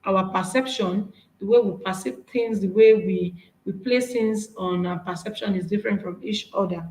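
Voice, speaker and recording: A woman's voice: medium pitch, normal speed, a little mellow, reverberant, low-quality recording. A woman with a Nigerian accent, whose first language is Yoruba, giving an earnest, encouraging workshop talk with slides, often restarting her phrases. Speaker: speaker.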